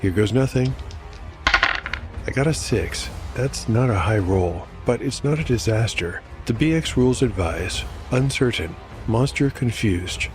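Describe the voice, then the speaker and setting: A man's voice: medium pitch, expressive, a little slow. A man narrating his solo tabletop game, rolling dice aloud and musing on the results. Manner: rolls dice aloud, reflective asides. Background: music.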